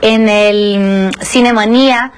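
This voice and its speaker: A woman's voice: mid-range voice, steady tone, slow pace, slight background noise. A woman from Brazil, a Portuguese speaker in her Spanish, answering conversationally as an interview guest and film director.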